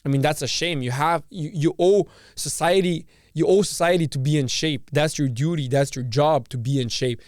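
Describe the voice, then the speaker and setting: A man's voice: a little high, moderate pace, few pauses, no room sound, studio quality. A man from Serbia hosting a podcast, giving a blunt, profane solo monologue full of repeated words and restarts. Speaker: podcast host.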